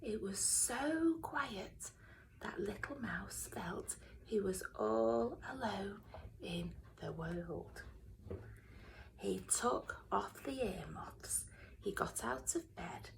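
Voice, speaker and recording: A woman reading a children's storybook aloud in a dramatic way, often dropping into hushed whispers. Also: medium pitch, animated, very slow, vocal fry, slight background noise, rough recording. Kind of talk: expressive children's storybook narration.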